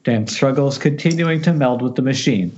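A man reads stage directions from a horror script in a medium-pitched voice. He stumbles over phrases and corrects himself as he narrates. The sound is slightly muffled, as from an internet call. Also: normal speed, darkish tone, slight background noise. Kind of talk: reading a horror screenplay aloud at amateur table read.

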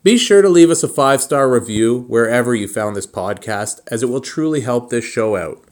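Man, podcast host, solo monologue to listeners, deliberate and halting, pauses mid-thought, mid-range pitch. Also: lively intonation, a little mellow.

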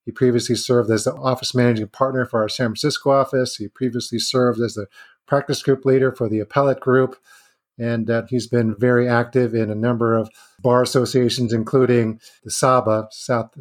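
A man's voice: medium pitch, little pitch movement, a little fast. Male host, casual interviewer who wanders through his questions. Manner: loose, rambling phrasing. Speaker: podcast host. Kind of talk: conversational interview.

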